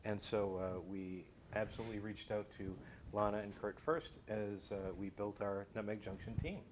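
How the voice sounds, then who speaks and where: Male guest, lowish voice, explanatory and measured, slightly formal, faint noise behind. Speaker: guest, audio drama creator. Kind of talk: explanatory interview talk.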